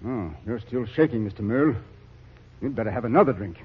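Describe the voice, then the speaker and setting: An actor playing a hardened, blunt army captain working famine relief, grim and weary, in a 1940s radio drama. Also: male voice, mid-range voice, lively intonation, a little fast, natural voice. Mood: bitter, weary. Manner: blunt, grimly weary, callous. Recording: dull old radio recording.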